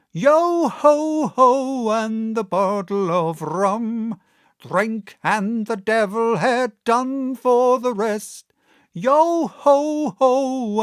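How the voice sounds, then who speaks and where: A man voicing a drunken, menacing old pirate sea captain for an audiobook, loud and drawn-out, given to singing and bellowing. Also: extremely high pitch, clear, resonant tone, dry recording, clean studio sound, pitched above the performer's own voice, full character voice. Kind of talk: audiobook character voice.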